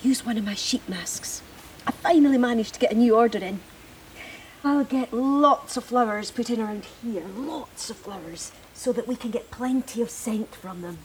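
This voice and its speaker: A woman acting in an audio drama as a bossy, chattering woman who fusses over home and child in a brisk, gushing way. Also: a little high, a little hiss or hum, close to an everyday voice, low-quality recording. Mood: bossy, fussy.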